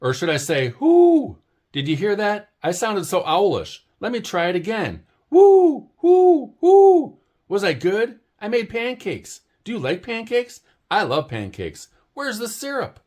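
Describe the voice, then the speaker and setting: A man voicing an eager, chatty young female bird in a storybook read-aloud, firing off excited questions. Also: high voice, unhurried, voice pushed up, exaggerated voice. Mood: giddy.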